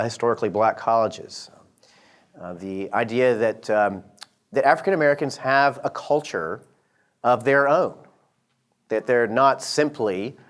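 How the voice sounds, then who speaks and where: A middle-aged man from Georgia with a slight Southern accent, giving a lecture with many pauses and fillers. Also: mid-range voice. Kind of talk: lecture to an audience.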